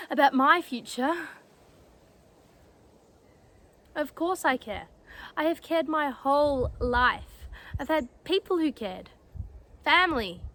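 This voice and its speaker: A young woman playing a defiant, grieving female space fleet cadet in an emotional, bitter dramatic monologue, pausing and stressing her words. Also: a little high, sharp tone, heavily acted. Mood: hurt, grieving.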